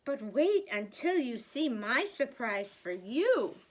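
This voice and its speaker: A woman's voice: a little high, big pitch swings, takes it slowly, heavily acted, poor audio. An older woman voicing a cheerful, enterprising wife in a children's storybook, speaking with persuasive reassurance.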